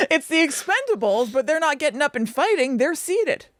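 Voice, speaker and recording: A woman's voice: high-pitched, sing-song, moderate pace, no echo, studio quality. A woman co-hosting a casual film discussion podcast, chatty, agreeable and playful. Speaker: podcast co-host.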